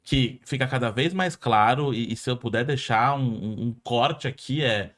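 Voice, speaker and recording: A Brazilian man with a Brazilian Portuguese accent, hosting a podcast interview in a lively, conversational way. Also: mid-range voice, moderate pace. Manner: lively and conversational, with frequent restarts. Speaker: podcast host.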